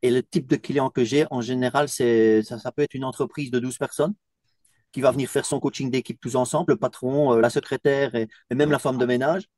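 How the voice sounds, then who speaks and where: A man's voice: medium pitch, steady tone, a little fast, darkish tone, dry recording. A man from Belgium who runs a team coaching business, speaking in an interview testimonial.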